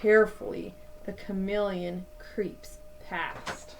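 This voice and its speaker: A woman in her thirties reading a picture book aloud to children like a teacher, with deliberate, dramatic emphasis. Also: mid-range voice, fairly even intonation, noisy background. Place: small indoor room at home.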